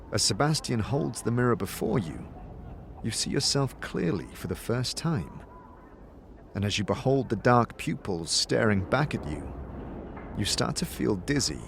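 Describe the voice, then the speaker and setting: Male narrator, lowish voice, highly animated, theatrical storytelling, scripted fantasy, prominent music behind. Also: creaky. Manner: theatrical, expressive. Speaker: narrator and game master voice. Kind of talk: dramatic second-person scripted storytelling.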